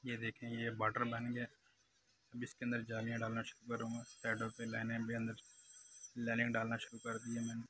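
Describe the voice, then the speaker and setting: Young male artisan host, Pakistani accent, very flat pitch, narrating his handiwork step by step over clear workshop noise. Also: brisk. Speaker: glass engraver, channel host. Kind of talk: live craft demonstration commentary. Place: glass cutting workshop. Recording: noisy workshop background hum.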